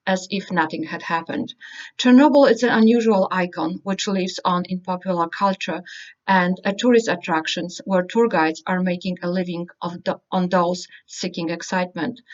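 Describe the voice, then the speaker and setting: A woman host reads out prepared interview questions in a formal, measured way. Her voice is a little low, and the audio is dull, like a lo-fi video call.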